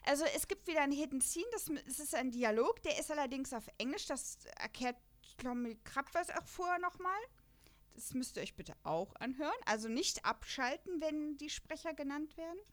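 A woman from the Lower Rhine region of Germany chatting casually as a podcast co-host, rambling and self-deprecating. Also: animated, moderate pace. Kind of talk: casual chat about an audio drama.

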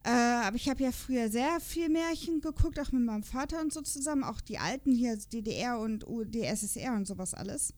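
A woman with a fairly high-pitched voice talks as a podcast host. She chats casually and loosely, rambling along from one thought to the next. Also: lively intonation, a little fast, few pauses, darkish tone. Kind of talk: casual chat.

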